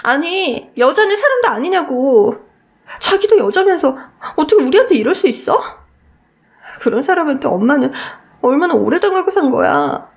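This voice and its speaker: A woman's voice: high-pitched, big pitch swings, normal speed, voice pushed up. A woman voicing a hurt, indignant teenage daughter pouring out her grievance in a radio story.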